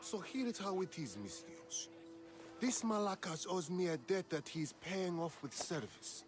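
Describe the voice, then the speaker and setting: An actor playing a smug, sardonic ancient Greek commander in a video game, mocking and commanding. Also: male voice, high-pitched, very animated, measured pace. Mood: smug, businesslike. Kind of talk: video game dialogue acting.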